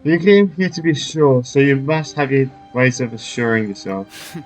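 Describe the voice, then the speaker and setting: A man's voice: medium pitch, lively intonation, normal speed, a little mellow. Casual male gamer commenting over play. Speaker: gamer playing through a game. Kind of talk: casual game commentary, reading choices aloud. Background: game music.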